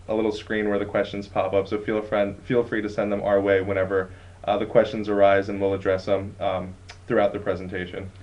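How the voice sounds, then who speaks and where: A young male student from Brooklyn, New York talks casually to prospective students, full of ums and likes. His voice is fairly low, and the recording sounds dull and muffled. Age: young adult. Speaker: student intern. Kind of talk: live online presentation to prospective students.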